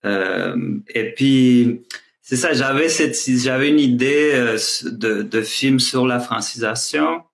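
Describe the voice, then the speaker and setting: A filmmaker speaking on a video call, talking in a hesitant way with many restarts and fillers. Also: male voice, mid-range voice, slow, vocal fry. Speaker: filmmaker guest. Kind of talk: casual panel talk.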